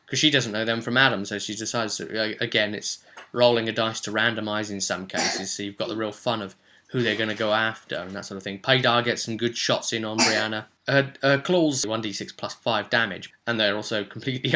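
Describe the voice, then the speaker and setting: A British man with a strikingly bright, forceful voice at an average male pitch. He talks like a game master casually recapping a session, rambling along in colloquial, story-telling fashion.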